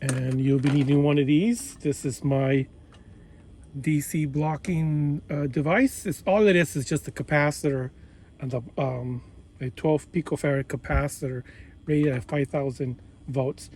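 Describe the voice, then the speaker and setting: A man presenting an informal hands-on technical demonstration, explaining step by step in halting, instructional phrases. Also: on the high side, fairly even intonation, darkish tone, a little hiss or hum. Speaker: tutorial presenter, radio hobbyist.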